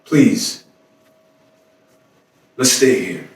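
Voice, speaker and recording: A man performing a staged dramatic monologue as a weary, lovesick, despairing man, speaking in halting, broken phrases with long pauses. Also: medium pitch, expressive. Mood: pleading, broken. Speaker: stage actor. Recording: roomy echoing stage, distant mic, faint noise.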